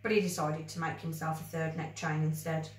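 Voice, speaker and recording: A woman reading a children's story aloud with expressive narration. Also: sits low, fairly quick. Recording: roomy, echoey, distant microphone.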